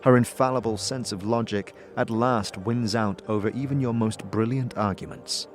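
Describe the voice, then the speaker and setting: Low-voiced male narrator and lead, a bit hesitant. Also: fairly even intonation, moderate pace, soft background music. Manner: hesitant, with filler "uh"s in dialogue. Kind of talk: audio drama narration and acting.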